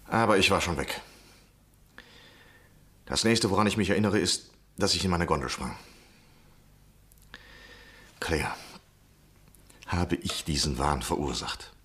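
A courteous, evasive scholar in a radio play, obsessed and scheming. His voice sits very low, with a husky rasp that keeps the words intimate. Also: measured pace, pauses often, a bit bright, full character voice. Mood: troubled, stubborn. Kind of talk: German radio play acting.

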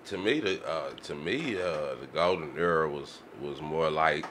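A man's voice: extremely low pitch, a little slow, a bit bright, a little hiss or hum. A man chatting casually as a podcast co-host, slangy with devout turns of phrase, on a studio microphone. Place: small indoor podcast studio.

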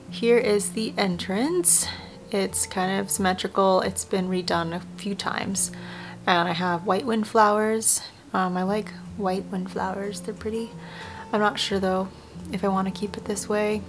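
Young female vlogger, casual chatty narration, slightly worn voice, mid pitch, faint background game music. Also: a bit bright. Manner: chatty, rambling, often drops to a near whisper. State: voice a little worn. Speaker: video creator. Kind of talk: casual game tour voice-over.

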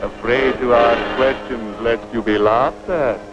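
An actor playing a taunting villain in a 1940s cartoon, with a deliberate, mocking delivery. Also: male voice, mid-range voice, fairly even intonation, natural voice. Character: sneering male villain, taunting enemy of pilots. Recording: muffled 1940s film soundtrack, loud music.

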